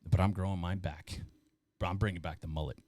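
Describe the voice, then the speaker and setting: A man with a lowish voice tells stories with eager, slangy energy, throwing in dude and bro as he goes. It sounds like relaxed talk among friends. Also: fast, no echo. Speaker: podcast guest. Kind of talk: casual storytelling chat.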